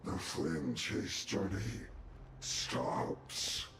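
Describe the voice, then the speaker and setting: A man voicing a sinister, cryptic swordsman in a game, with a gravelly voice and an ominous, halting manner. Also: deep voice, lively intonation, full character voice. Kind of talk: game voice acting. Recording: roomy echo, noisy ambience.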